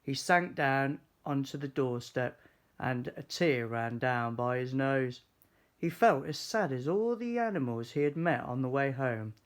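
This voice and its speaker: A man reading a children's story aloud in an expressive, deliberate, animated way. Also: mid-range voice. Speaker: storybook reader.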